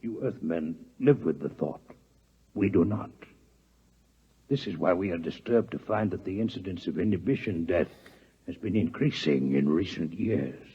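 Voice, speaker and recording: An actor playing a courteous, scholarly alien doctor in a 1950s radio drama, speaking formally, precisely and gravely in a husky voice. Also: male voice, low voice, expressive, moderate pace, heavily acted. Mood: grave, fascinated. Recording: muffled vintage 1950s radio recording.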